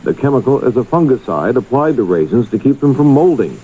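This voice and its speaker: A man's voice: mid-range voice, big pitch swings, fairly quick, dark tone, slight background noise, poor audio. A man reading scripted news as a radio anchor on a 1970s broadcast.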